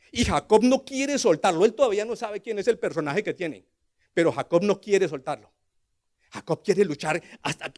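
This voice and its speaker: Older Colombian preacher, higher-pitched man's voice. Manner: dramatic delivery, swinging from hushed asides to loud emphatic declarations; rhetorical questions to the congregation. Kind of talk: sermon, storytelling from scripture.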